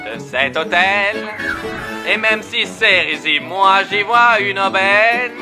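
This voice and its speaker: A man voicing a grinning, theatrical demon gentleman with mocking politeness in an amateur cartoon fan dub. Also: sits high, expressive, slow, music in the background. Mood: grand, jubilant. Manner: theatrical, mannered, falsely courteous.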